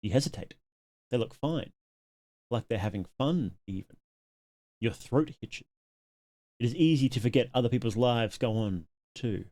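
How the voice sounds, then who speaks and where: A man's voice: medium pitch, normal speed, long pauses, dark tone. A man live-streaming, reading game text aloud and making casual asides to his viewers.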